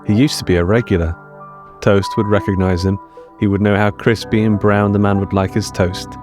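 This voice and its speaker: Low-voiced man narrating a story. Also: flat delivery, brisk. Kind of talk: scripted fiction narration, audio storytelling. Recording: music under the narration.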